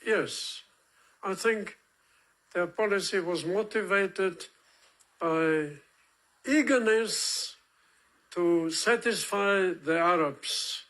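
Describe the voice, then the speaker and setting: An older man giving eyewitness testimony in an interview, speaking in halting, deliberate phrases. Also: high voice, fairly bright tone, poor audio.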